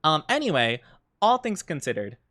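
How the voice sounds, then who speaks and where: A man's voice: a little high, very animated, normal speed, bright, forceful tone, dry recording, clean studio sound. A man streaming live, chatting casually with viewers in a playful, mock-offended way, laughing often, his voice wearing thin. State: losing his voice. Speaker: VTuber streamer.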